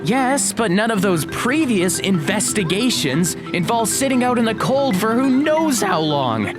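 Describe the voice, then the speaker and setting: A woman's voice: medium pitch, sing-song, a little slow, over music. A woman playing a sceptical, responsible teenage boy with a reluctant, chiding manner in an audio drama. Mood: weary, complaining.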